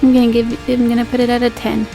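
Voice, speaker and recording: A woman's voice: a little high, monotone, fast, mellow, soft background music. A woman working as game master, narrating an improvised tabletop game in a playful, conversational way.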